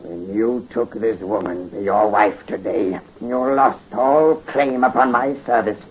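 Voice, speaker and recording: An actor playing a hate-filled old manservant who curses a bridal pair, in a 1930s radio drama. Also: male voice, lowish voice, a little slow, close to an everyday voice. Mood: menacing. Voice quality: gravelly, sinister. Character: old male servant, hate-filled, cursing. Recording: muffled 1930s radio recording, faint hiss.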